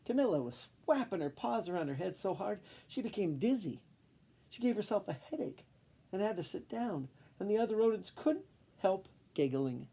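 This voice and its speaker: A man reading a children's picture book aloud in an expressive storytelling style. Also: high-pitched, normal speed, vocal fry, slightly rough.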